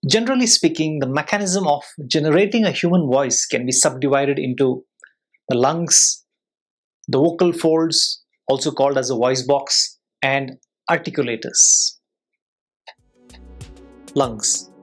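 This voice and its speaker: An instructor speaks in a mid-range voice over background music. The delivery is formal and measured, explaining each point step by step with careful enunciation. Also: mid-range voice, poor audio. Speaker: soft skills instructor and public speaker.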